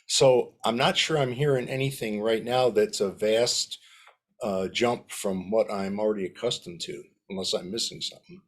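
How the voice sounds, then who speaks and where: A man who is training to instruct, speaking in a measured, thoughtful way on a video call. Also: lowish voice. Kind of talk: casual group video-call discussion.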